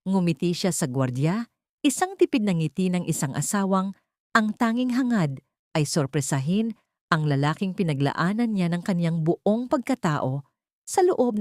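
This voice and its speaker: Woman, dramatic audio story narration, lively intonation, close dry sound. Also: fairly low pitch, no room sound.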